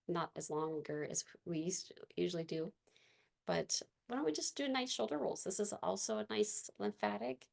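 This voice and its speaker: Gentle class leader, woman, raspy and gravelly, lowish voice, easygoing guidance. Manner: soft, gentle, unhurried guidance with fillers. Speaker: movement and meditation class leader. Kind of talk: guided movement class instruction.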